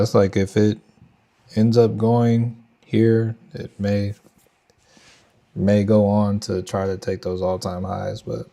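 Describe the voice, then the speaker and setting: A man's voice: lowish voice, gravelly, mellow. A young man with a Texan accent, casually thinking aloud and chatting with viewers on a trading livestream. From Texas. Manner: thinks aloud, loose slangy talk to chat. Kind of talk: casual live market commentary.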